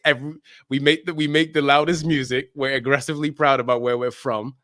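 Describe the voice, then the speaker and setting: Male podcast guest, very quick, emphatic casual talk, close dry sound. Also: mid-range voice, little pitch movement, fairly bright tone, no echo. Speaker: guest, soccer podcast creator. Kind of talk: animated casual interview chat.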